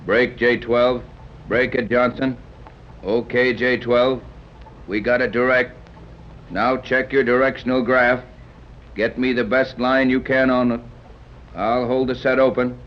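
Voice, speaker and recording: A brisk sea captain who gives orders in a clipped, commanding way, as an actor plays him. The sound is dull and faintly hissy, like a 1930s radio broadcast. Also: male voice, mid-range voice, little pitch movement, close to an everyday voice. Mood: radio talk. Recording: dull old radio recording.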